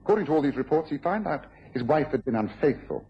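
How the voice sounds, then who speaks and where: An actor playing a courteous, astute British gentleman detective in an old radio drama, precise and given to musing aloud. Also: on the high side, sing-song, fast, breathy edge, heavily acted. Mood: musing, intrigued. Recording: muffled vintage radio recording with hiss.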